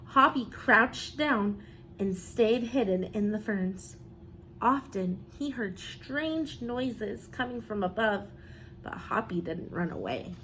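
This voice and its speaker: A woman teacher reading a picture book aloud expressively, pausing to encourage young listeners with questions and praise. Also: mid-range voice, lively intonation, a bit bright, slight background noise, low-quality recording.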